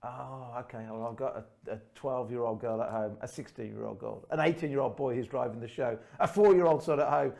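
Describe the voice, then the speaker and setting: A man in his forties hosting an online show in a chatty, upbeat way, his voice slightly croaky. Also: medium pitch, brisk, few pauses, a little mellow. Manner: upbeat, chatty, laughs often. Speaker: host. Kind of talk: casual online show presenting. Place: room under his house.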